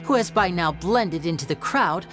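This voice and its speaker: A poised lady magician from a video game, laying out secrets with theatrical care. Her voice sits a little low and moves in lively, expressive arcs. She sounds measured and confiding, with soft music underneath. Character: poised female stage magician explaining tricks and clues. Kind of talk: scripted video game voice acting.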